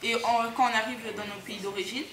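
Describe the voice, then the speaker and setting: A woman speaking casually and haltingly from personal experience at a public discussion, with colloquial words. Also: on the high side, steady tone, a bit bright. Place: cinema hall. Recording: echoey, noisy room.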